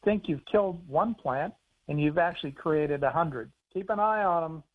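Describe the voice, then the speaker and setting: A man with an American accent speaks over a tinny phone line. He explains things in an easygoing, chatty way, now and then with a chuckle. His voice sits a little high for a man. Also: animated, normal speed. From United States. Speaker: author and conservation biologist on the phone. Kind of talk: phone interview on radio.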